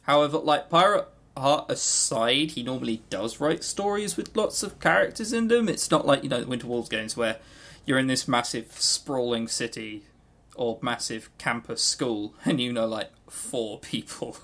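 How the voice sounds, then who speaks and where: A man co-hosting a podcast in casual chat, talking informally and haltingly with repeated words. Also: medium pitch, expressive, fairly bright tone. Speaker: podcast co-host.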